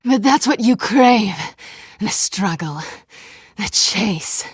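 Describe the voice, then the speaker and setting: Playful villainess, cat burglar, husky, slow teasing delivery, self-assured, video game dialogue. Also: female voice, medium pitch, expressive, no echo, clean studio sound, heavily acted. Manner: teasing, taunting, unhurried.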